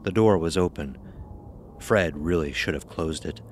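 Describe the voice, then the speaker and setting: Deep-voiced man narrating horror. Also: unhurried, slight background noise. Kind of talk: scripted horror story narration.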